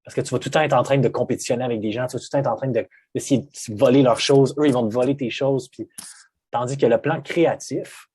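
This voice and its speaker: A man's voice: medium pitch, fairly even intonation, fast, darkish tone, breathy edge, dry recording. A man with a Québécois French accent, a guest speaking reflectively in casual conversation, with frequent hesitant restarts.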